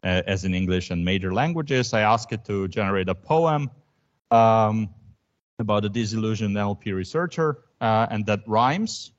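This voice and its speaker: A man's voice: lowish voice, expressive, moderate pace. A man whose first language is Croatian, giving an academic lecture in a thoughtful way, with frequent ums and restarts. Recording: dull lo-fi recording.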